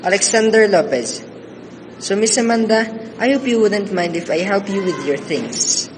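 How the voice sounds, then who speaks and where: A man's voice: high-pitched, animated, normal speed. Reassuring teenage boy acted by a man, reverberant. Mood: calm reassurance. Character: friendly, reassuring teenage boy offering help. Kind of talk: radio drama acting. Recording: noisy recording with hall reverb.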